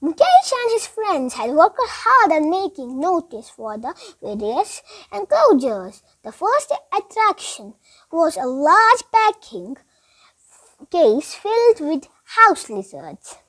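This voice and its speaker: High-pitched, animated child reading a story. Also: slow, rough recording. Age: child, about eight. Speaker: schoolchild reading aloud.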